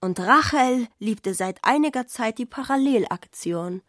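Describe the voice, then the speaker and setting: A woman's voice: on the high side, animated, measured pace, no room sound, studio quality. A woman narrating a literary radio drama from a script.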